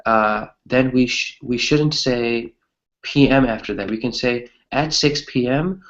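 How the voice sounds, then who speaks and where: A man's voice: mid-range voice, unhurried, a bit bright, highs cut off. A man teaching an English grammar lesson conversationally, encouraging his students and often checking in with "right?".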